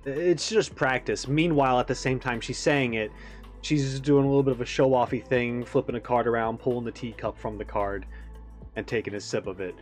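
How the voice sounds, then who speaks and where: A man's voice: medium pitch, steady tone, fairly quick. A man hosting a reaction video, giving casual, off-the-cuff commentary. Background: faint music.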